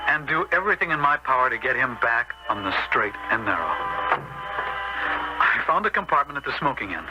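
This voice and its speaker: A man's voice: mid-range voice, sing-song, a little fast, muffled recording, loud music behind the voice, close to an everyday voice. An actor playing an upright, preachy man troubled by his crooked brother, in 1970s radio drama style. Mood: grave recounting. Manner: earnest, moralizing. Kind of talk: 1970s radio drama acting with first-person narration. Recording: noisy old 1970s radio broadcast.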